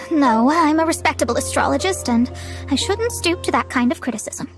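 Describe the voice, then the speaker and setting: A woman's voice: sits high, lively intonation, normal speed, over music. A woman voicing a proud, self-assured young astrologer in a video game, articulate and grandiose. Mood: exasperated, prim.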